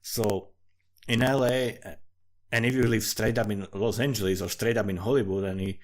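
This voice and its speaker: A man's voice: fairly low pitch, fairly even intonation, normal speed. Rambling man with a Central European accent. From Central Europe, small country. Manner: informal, sweary, digressive. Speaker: amateur fantasy writer recording commentary. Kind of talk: casual rambling monologue.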